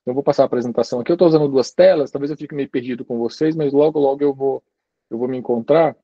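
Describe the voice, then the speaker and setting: A man gives a friendly, conversational university talk over a video call. His voice is mellow and darkish, and the sound is dry and a bit muffled. He keeps checking in with his listeners as he goes. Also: mid-range voice, racing, no room sound. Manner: chatty, relaxed, tags sentences with "né" and "tá". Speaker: guest lecturer. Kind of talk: informal university lecture.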